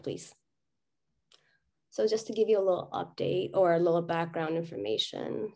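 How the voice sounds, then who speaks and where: Young female doctor as guest presenter, lowish voice, slightly hoarse from a cold. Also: dark tone. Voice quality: slightly raspy. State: getting over a cold, voice worn from chanting. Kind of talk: presentation with slides. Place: online video conference.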